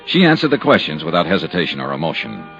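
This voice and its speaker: An actor playing a dry, wry insurance investigator who narrates and questions people in a 1950s radio drama. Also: male voice, deep voice, big pitch swings, fast talker, over music. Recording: dull lo-fi old radio transcription.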